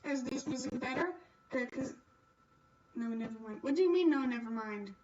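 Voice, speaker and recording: A woman's voice: sits high, expressive, normal speed, darkish tone, far from the mic, highs cut off. A woman livestreaming a game, chatting casually and playfully with her viewers. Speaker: livestreamer playing a visual novel. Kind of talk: casual chat while gaming.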